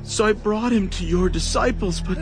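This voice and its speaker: High-pitched, desperate pleading father. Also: moderate pace. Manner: imploring, emotional. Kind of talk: dramatized scripture acting. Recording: loud music behind the voice.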